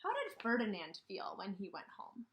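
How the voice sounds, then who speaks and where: Expressive woman teaching young children. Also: mid-range voice, slight background noise. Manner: questioning, pausing for children to answer; simple, deliberate, repetitive phrasing for small children. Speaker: kindergarten teacher. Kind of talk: read-aloud lesson for young children.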